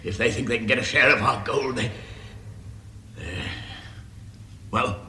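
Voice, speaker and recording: Proud dwarf leader, echoey and forceful. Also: male voice, mid-range voice, measured pace. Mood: urgent, suspicious. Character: proud, suspicious dwarf leader, indignant and commanding. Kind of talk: vintage radio drama acting. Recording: echoey, slightly noisy vintage radio recording.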